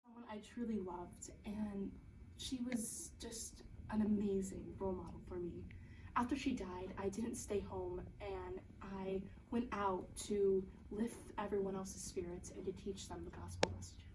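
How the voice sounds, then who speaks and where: A woman playing a devout religious sister from eighteenth-century France as an interview guest, speaking earnestly and a little haltingly in an amateur role-play. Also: medium pitch, fairly even intonation, darkish tone, natural voice. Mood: tender, wistful. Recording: roomy, distant mic with background noise.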